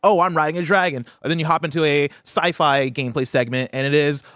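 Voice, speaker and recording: A man's voice: a little high, steady tone, quick pace, fairly bright tone, dry recording. A man hosting a games news show, talking in a casual, analytical, conversational way. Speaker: podcast host.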